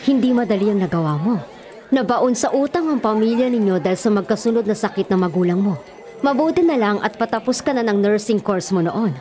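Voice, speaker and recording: Commanding, scheming middle-aged matriarch Lorena played by an actress. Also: female voice, medium pitch, expressive, warm tone, close to an everyday voice. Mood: composed, pointed. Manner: measured, controlling. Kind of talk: radio drama acting.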